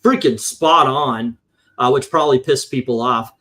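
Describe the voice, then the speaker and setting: A man's voice: mid-range voice, normal speed, sharp tone. A man reviewing comic books in casual, opinionated panel chat, rambling and swearing, acting out his exasperated reactions.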